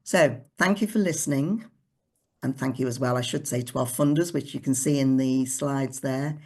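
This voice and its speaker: A woman with a low voice presents her charity's support services over a video call. She goes through the list steadily and plainly. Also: moderate pace, darkish tone, husky. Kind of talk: online forum presentation.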